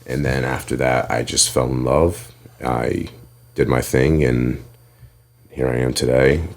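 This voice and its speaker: A young man from Connecticut, a guest in a casual interview, telling relaxed, rambling stories about his past. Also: extremely low pitch, unhurried, gravelly.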